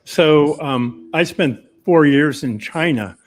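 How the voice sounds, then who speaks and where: A man's voice: fairly high pitch, slow pace. A man who makes documentaries, talking earnestly on a video call panel and repeating phrases as he searches for words. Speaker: documentary filmmaker guest. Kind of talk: casual panel talk.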